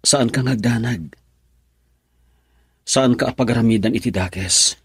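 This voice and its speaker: An actor playing a defiant, earnest young son and sweetheart in a Filipino radio drama, with a husky voice and Filipino accent. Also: male voice, medium pitch, unhurried, no room sound, studio quality. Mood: persuasive. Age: young adult.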